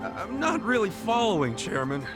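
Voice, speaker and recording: An earnest, hot-headed gangster from a video game. His delivery is blunt and expressive, swinging widely in pitch, over dramatic music. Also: normal speed. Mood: incredulous. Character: hot-headed, earnest underdog yakuza man. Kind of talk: English video game dub acting.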